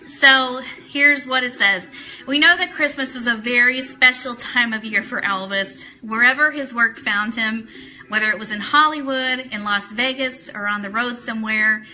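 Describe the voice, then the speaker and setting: A woman's voice: fairly high pitch, sharp tone, low-quality recording. A woman hosting a casual live-streamed talk show, chatting enthusiastically, greeting viewers and reading aloud. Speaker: host of an Elvis talk show. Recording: music playing in the background. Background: Christmas CD music.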